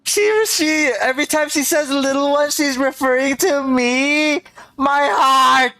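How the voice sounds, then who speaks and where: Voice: sits high, takes it slowly, pushed and bright. A game streamer giving casual, playful live commentary, reacting dramatically and muttering along. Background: game music.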